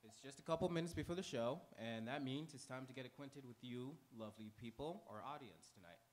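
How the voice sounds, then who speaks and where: A man hosting a live theater pre-show, addressing the audience from the stage in an informal, halting way. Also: mid-range voice, animated.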